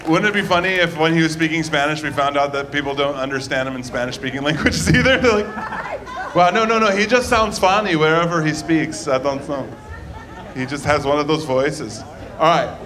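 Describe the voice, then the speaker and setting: A man emcees a comedy night, his fairly high, bright voice carrying over some crowd murmur. He fills the gap between acts with chatty, joking asides and introductions. The room noise stays faint behind him. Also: normal speed. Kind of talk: introducing comics.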